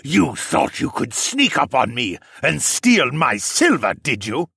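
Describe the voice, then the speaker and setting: A proud reptilian regent for a fantasy audiobook, set apart from plain speech by a slow, bellowing delivery. He swings widely in pitch with vengeful indignation, recorded close and dry. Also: sing-song, gravelly, pushed and bright, husky, no room sound, full character voice. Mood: menacing fury. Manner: bellowing, drawn-out wailing indignation.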